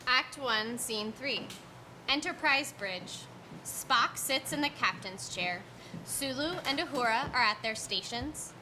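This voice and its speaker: A woman's voice: a little high, slow pace. A woman reading stage directions as scripted narration in a live staged reading. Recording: live stage, distant, faint background noise.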